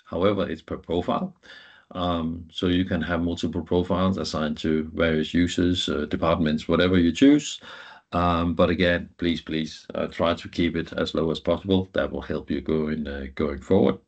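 Low-voiced man, halting technical presenter. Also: steady tone. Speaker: guest presenter, IT professional. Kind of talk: informal technical presentation over slides.

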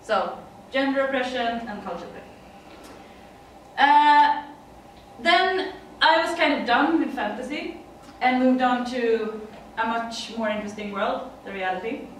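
A woman's voice: fairly high pitch, unhurried, slight background noise. A middle-aged Swedish woman with a Swedish accent, giving a chatty conference talk in English with frequent laughs and fillers. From Sweden. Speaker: conference speaker. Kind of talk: presentation talk. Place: dim conference room. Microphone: far room mic. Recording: echoey room from far off.

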